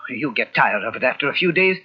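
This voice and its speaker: Stubborn older husband, husky and excitable, voice jumps around, muffled old radio drama. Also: male voice, mid-range voice, fast talker. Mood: pleading, stubborn. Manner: earnest, pleading, excitable. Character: earnest, clinging older well-to-do husband, pleading and stubborn. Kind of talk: old-time radio mystery drama acting. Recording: dull old radio recording from cassette.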